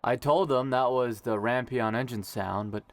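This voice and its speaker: An easygoing young emperor, acted by a man for an audio drama. His mid-pitched voice has a gravelly creak and a relaxed, wry affection. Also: moderate pace, no echo, lightly acted.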